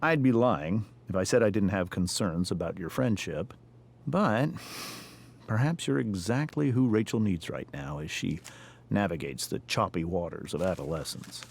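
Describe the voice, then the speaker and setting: An actor playing a pompous middle-aged American district attorney and father, speaking in measured, formal sentences in a video game drama. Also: deep voice, lively intonation, gravelly, exaggerated voice. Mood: probing, guarded.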